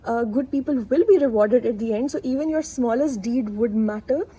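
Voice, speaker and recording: A woman's voice: a little high, moderate pace, mellow, husky. A young woman whose first language is Urdu, talking candidly and casually to camera, with plenty of fillers and asides. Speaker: vlogger. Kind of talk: casual reflective monologue to camera.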